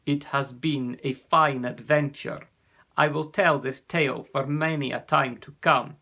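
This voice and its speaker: A man voicing a huge, humble creature parent who speaks gently and with dignity. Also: medium pitch, fairly even intonation, measured pace. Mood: gentle.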